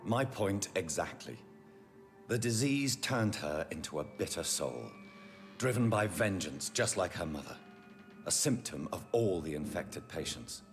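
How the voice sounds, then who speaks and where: Over clear background music, a brooding vampire doctor in a dramatic game cutscene. The voice is fairly low with wide, expressive movement. He sounds stern, formal and accusing. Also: a little slow. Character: grave, accusing English gentleman vampire doctor, brooding and righteous.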